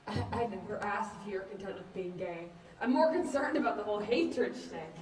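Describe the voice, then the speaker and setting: A woman's voice: mid-range voice, fairly quick, darkish tone, natural voice. A young woman acting a blunt, sardonic character in a student stage play. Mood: challenging. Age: young adult. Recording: echoey room, far mic, faint noise.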